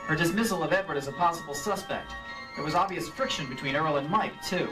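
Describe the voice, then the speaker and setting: A man's voice: on the high side, fast talker, close to an everyday voice. A man playing a cool, wry insurance investigator who narrates matter-of-factly in old radio detective style. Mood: matter-of-fact narrating. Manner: hard-boiled, matter-of-fact. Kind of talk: 1940s-50s radio detective acting. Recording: live stage re-creation, distant mic, music.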